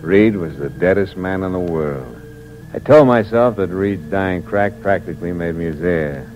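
A man's voice: sits low, moderate pace, soft background music, close to an everyday voice. A man acting a cynical, hard-boiled American drifter who narrates his crime in terse, wry 1940s radio noir style. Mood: grim, cynical. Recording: muffled, noisy old radio recording.

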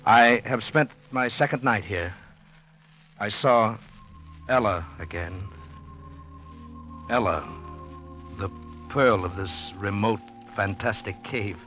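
A dull old radio recording carries an anxious, earnest gentleman. His voice is forceful and tense, with halting, intense delivery in the 1940s dramatic style. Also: on the low side, faint music behind. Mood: tense, brooding. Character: anxious, earnest gentleman, bewildered newcomer and diarist.

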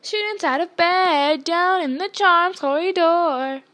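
A woman performs a gleefully wicked male poltergeist for a storybook. The voice is very high and loud, pushed up into a taunting sing-song. He cackles and draws out his mockery like a naughty child's chant. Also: very animated, unhurried, exaggerated voice. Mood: bellowing glee. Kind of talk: storybook character voice.

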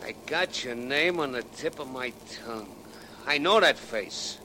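A man's voice: medium pitch, animated, unhurried, natural voice. A man playing a chatty, nosy city cab driver in 1940s radio drama style, with slangy, familiar talk. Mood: chatty, curious. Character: folksy, talkative, nosy male New York cab driver. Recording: noisy vintage 1940s radio recording, street sounds.

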